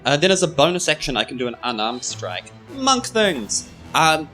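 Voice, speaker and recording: A man's voice: a little high, very animated, moderate pace, sharp tone. A man bantering as a player at a live tabletop game, joking and mock-serious. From New Zealand. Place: live on stage at a club. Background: music under the voice.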